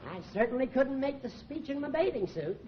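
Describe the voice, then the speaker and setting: A man's voice: very high-pitched, animated, brisk, breathy edge, exaggerated voice. An actor playing a fussy, indignant older male judge in a 1940s radio comedy. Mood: grumbling, baffled. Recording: muffled, noisy old radio recording.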